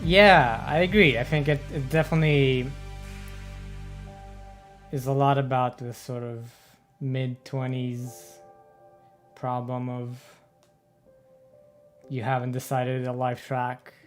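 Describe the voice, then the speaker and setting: A woman chatting casually as a co-commentator on a let's play, in a tentative, low-key way. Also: steady tone, slow pace, long pauses, soft background music. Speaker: game co-commentator.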